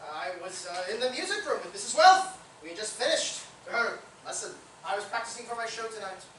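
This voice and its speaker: A man's voice: high voice, big pitch swings, brisk, a bit bright. A man playing a vain, easily flustered musical theatre actor under suspicion, speaking precisely in a comic stage play reading. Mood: evasive. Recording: distant, very echoey, faintly noisy theatre recording.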